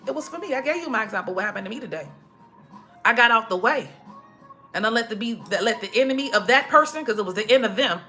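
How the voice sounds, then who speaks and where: Preachy woman with a bright voice. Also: fairly high pitch. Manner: preachy, emphatic, repeats herself. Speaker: motivational speaker. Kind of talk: casual motivational talk to followers. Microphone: phone.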